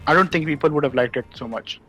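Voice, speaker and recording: A man's voice: on the high side, fast, low-quality recording. A man in a casual discussion, earnestly repeating himself to press his point. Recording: faint music behind the voice.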